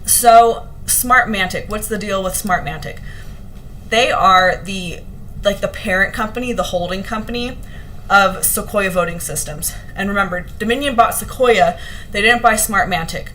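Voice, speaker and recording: Slightly hoarse woman vlogging casually. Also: medium pitch, normal speed, fairly bright tone, slight background noise, poor audio. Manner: chatty, earnest, many ums and restarts. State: a little hoarse. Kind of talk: casual talk to camera to live viewers.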